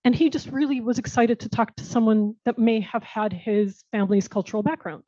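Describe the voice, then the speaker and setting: An American woman with a mellow, warm voice gives earnest, reflective answers as a guest speaker. She often restarts her phrases as she thinks. The recording is dry and a little dull. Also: a little high, a little fast, no room sound. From United States. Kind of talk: conversational answers at a panel Q&A. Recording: muffled dull sound.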